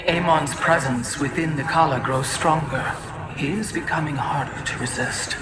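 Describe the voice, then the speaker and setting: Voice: medium pitch, normal speed, natural voice. A performer voicing a grave, troubled alien seer in a video game, speaking in formal, portentous phrases. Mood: ominous, troubled. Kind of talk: dramatic video game acting. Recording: heavy ambient noise behind.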